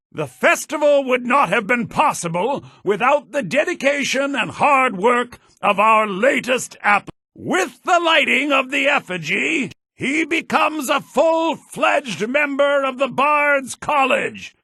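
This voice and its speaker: An actor playing an earnest, theatrical head bard in a fantasy video game, speaking formally with a slightly raspy voice. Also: male voice, extremely high pitch, pushed and bright, no echo, exaggerated voice. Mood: proclaiming. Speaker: game character, bard college leader.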